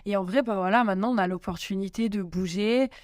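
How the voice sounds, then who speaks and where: A woman's voice: medium pitch, fast talker, a little mellow, dry recording, studio quality. A young woman guest answering interview questions in casual French, hesitant, with many fillers and restarts. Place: home in Italy.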